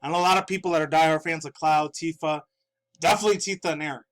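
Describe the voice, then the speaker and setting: A man's voice: a little high, steady tone, very fast, fairly bright tone. A man in his thirties, a little stuffy-nosed, rambling casually and profanely through gaming news in his room, often laughing. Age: 30s. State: stuffy from dust. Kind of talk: casual video commentary.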